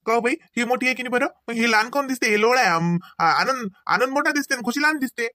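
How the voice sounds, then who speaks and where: A man acts as a young village uncle in a comedy cartoon, teasing and familiar, with a rural Vidarbha Marathi accent. His voice is sharp and bright, recorded very dry. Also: very high voice, fast talker, no echo.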